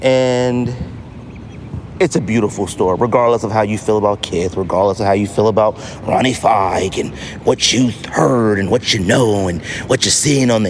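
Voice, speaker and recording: A middle-aged American man talks casually as a solo podcast host. His voice sits fairly low, and he tells stories in an animated, slangy style with emphatic repetition. There is some noise in the background of the recording. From United States. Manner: animated, slangy storytelling with mock voices for others.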